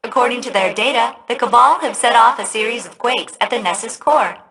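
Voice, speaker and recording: Perky, high, bright AI played by a woman. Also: brisk, a little hiss or hum, natural voice. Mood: cheerful, brisk. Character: perky, polite female spaceship AI. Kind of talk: scripted video game voice acting.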